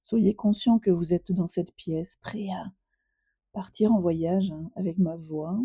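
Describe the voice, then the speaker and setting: A woman yoga teacher guiding a relaxation meditation in a soothing, unhurried way with gentle pauses. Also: lowish voice, steady tone, slightly rough, no echo.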